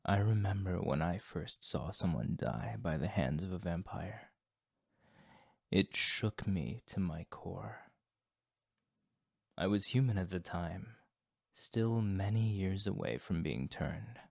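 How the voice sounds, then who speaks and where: Guy doing a sad solemn vampire asmr, really deep voice, slow and measured, fighting bloodlust. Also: animated, vocal fry, no echo, clean studio sound, full character voice. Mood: haunted, grieving. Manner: solemn, formal, measured, hushed. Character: brooding, remorseful male vampire fighting his bloodlust. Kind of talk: intimate ASMR roleplay monologue.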